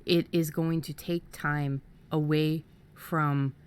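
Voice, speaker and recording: A woman's voice: deep voice, level tone, slow pace, clear, resonant tone. A woman co-hosting a podcast, giving casual, earnest advice and often stumbling and repeating words as she goes. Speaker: podcast co-host. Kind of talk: casual conversational writing advice.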